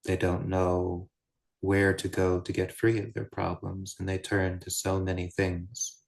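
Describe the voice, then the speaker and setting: A man guiding a meditation as a teacher, speaking in measured, deliberate short phrases with pauses between them. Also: low-pitched, monotone, creaky. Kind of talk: guided meditation, unscripted Buddhist teaching.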